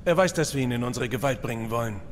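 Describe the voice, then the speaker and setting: A man playing a level-headed, no-nonsense soldier in a horror audio drama, brisk and matter-of-fact. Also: medium pitch, big-room sound, slight background noise, natural voice. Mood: businesslike. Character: no-nonsense male soldier partner, teasing but level-headed. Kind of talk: dramatic audio drama acting of a video game story.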